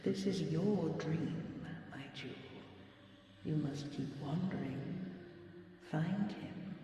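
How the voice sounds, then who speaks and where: A woman voicing a possessive, magical dragon queen, tender and regal, with breathy, formal, coaxing speech in a fantasy audio roleplay. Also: low voice, warm tone, very echoey, big-room sound, far from the mic, slight background noise. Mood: musing, teasing. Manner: formal, measured, coaxing, slightly archaic phrasing.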